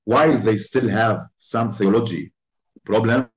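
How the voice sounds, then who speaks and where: A man giving a formal conference talk, speaking in slow, emphatic phrases. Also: on the low side, roomy sound. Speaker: invited speaker.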